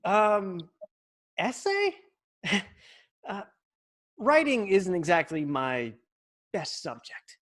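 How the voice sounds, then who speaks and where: A cocky, slow-spoken ten-year-old boy. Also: high-pitched, very animated, fairly bright tone, full character voice. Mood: cocky, excited. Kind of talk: sitcom table read.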